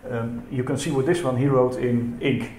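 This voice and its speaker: A man's voice: medium pitch, steady tone, normal speed, a little mellow. A man whose first language is Dutch, giving a conference talk in a hesitant way, with many fillers and repeated words. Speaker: symposium speaker. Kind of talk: conference presentation. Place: lecture hall. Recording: echoey hall with faint noise.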